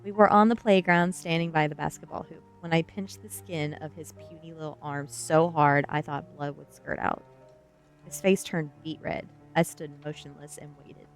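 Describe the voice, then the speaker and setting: Low-voiced woman reading a story aloud. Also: fairly even intonation, normal speed, faint music behind. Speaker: streamer reading a novel aloud. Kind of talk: ASMR reading, first-person storybook narration.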